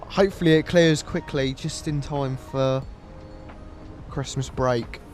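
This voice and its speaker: A man's voice: mid-range voice, fairly even intonation, takes it slowly, a little mellow, faint music behind. Man with a blocked-up nose. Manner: chatty, friendly, thanks viewers by name. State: stuffy nose from a cold. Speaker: flight simulator livestreamer. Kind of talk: casual livestream chat while flying.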